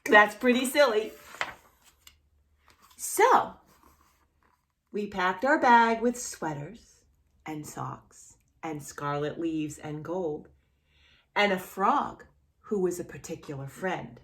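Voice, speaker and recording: A woman storyteller reads to little kids at an unhurried pace, with a highly animated, swooping voice. She is playful and expressive, talking straight to the child as if waiting for answers. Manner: playful, expressive, exaggerated reactions, addresses the child directly. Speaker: children's storyteller and channel host. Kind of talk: read-aloud children's story with questions to young listeners.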